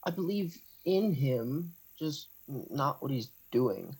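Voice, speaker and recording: A man's voice: mid-range voice, animated, slow, darkish tone, slightly rough, heavily acted. An actor playing a frustrated, wavering male disciple, speaking earnestly and indignantly in a radio play. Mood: torn. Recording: faintly noisy home video-call recording.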